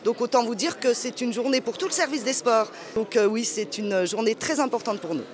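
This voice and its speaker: A woman's voice: fairly high pitch, a little fast, crowd noise. A woman answering interview questions as a local event organiser.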